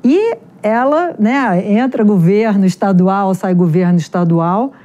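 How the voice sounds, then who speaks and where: Mellow-voiced carioca woman scientist. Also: mid-range voice, unhurried, highs cut off. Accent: Carioca Portuguese. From Rio de Janeiro, Brazil. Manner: animated, chatty, many fillers and restarts. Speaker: genetics researcher, guest. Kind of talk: interview answers.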